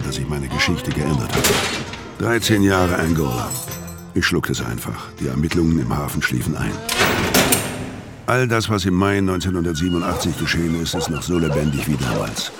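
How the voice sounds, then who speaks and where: Deep low male voice, bitter battered ex-hitman, terse grim crime radio drama narration, prominent music behind. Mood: resigned.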